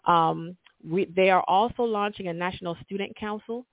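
A woman's voice: mid-range voice, moderate pace, no echo. A woman from Jamaica with a Jamaican accent, a middle school principal speaking earnestly and enthusiastically as an interview guest, with many ums and polite asides. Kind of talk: conversational interview. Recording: tinny call audio, dry.

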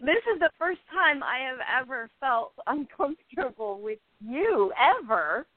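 A woman's voice: high-pitched, very animated, unhurried, heavily acted. A woman playing a wry, shy woman in love, with a hesitant manner, in an amateur radio play. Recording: thin phone-like line.

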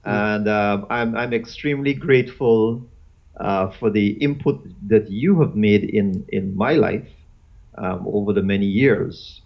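A man in his fifties from Guyana, talking as an interview guest in a halting way with many fillers and restarts. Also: on the low side, creaky. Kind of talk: casual interview chat. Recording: dull lo-fi audio.

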